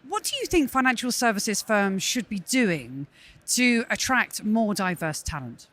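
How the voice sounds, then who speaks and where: A woman's voice: mid-range voice, sing-song, unhurried. A woman hosting a live conference podcast, effusive and full of praise as she introduces and questions her guests. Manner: warm, effusive and gushing with praise. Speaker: podcast host.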